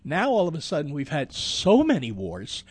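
A man in a casual radio discussion, explaining things in a measured, somewhat hesitant way as a panelist. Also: on the high side, huge pitch swings, husky, no echo.

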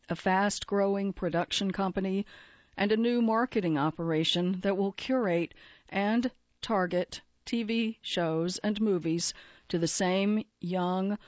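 A woman narrating a magazine feature article in an even, measured reading style. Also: mid-range voice, dry recording, lo-fi sound.

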